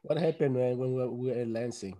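A man's voice: medium pitch, fast, dark tone. A man hosting a soccer podcast, friendly and teasing as he asks his guest questions. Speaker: podcast host. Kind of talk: podcast interview.

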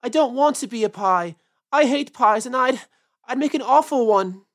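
A woman as a high-pitched, indignant little boy rabbit. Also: a little fast, close to an everyday voice. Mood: wailing, upset. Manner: wailing and yelling in protest. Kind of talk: children's storybook character voice.

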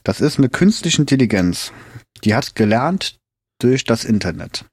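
A man co-hosting a podcast in relaxed, casual chat, explaining things plainly and laughing easily. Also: mid-range voice, moderate pace, husky, no echo, clean studio sound. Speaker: podcast co-host.

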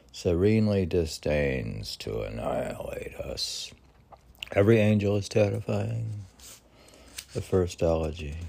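A man hosting a poetry podcast, reading verse and musing aloud in halting, questioning asides as if talking to himself. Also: low-pitched, vocal fry, warm tone, breathy edge. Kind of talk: poetry reading with rambling casual commentary.

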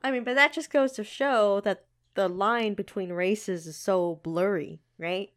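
A woman's voice: medium pitch, unhurried. An American woman co-hosting a casual podcast, easygoing and full of fillers, laughing often. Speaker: podcast co-host. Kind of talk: casual chat.

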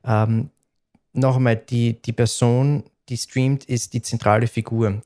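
Measured man with a dialect. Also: mid-range voice, flat delivery, warm tone. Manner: sober, measured, explains at length with restarts. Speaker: streamer. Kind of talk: conversation.

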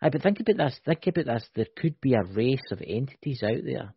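Husky man musing aloud, dry lo-fi sound. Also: mid-range voice, brisk, dry recording. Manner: animated, speculative, keeps asking "right?". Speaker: podcast co-host. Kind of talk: casual chat. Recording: dull lo-fi, dry.

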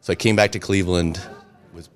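A middle-aged man with a remarkably deep, low voice. He tells long stories in a relaxed, chatty way, often joking at his own expense. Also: fairly quick. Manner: chatty, self-deprecating humor, frequent restarts. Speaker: interview guest, restaurant and brewery owner. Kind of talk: casual storytelling interview.

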